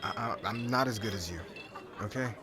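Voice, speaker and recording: A man playing a brash, lovelorn young warrior groom in an ASMR audio drama, halting and informal, sounding exasperated. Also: lowish voice, background noise, natural voice. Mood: dejected, pleading.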